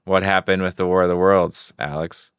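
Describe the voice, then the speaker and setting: Deep-voiced easygoing podcast co-host man. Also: fairly even intonation, moderate pace, clear, resonant tone, no room sound, studio quality. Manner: easygoing, agreeable. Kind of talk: casual chat.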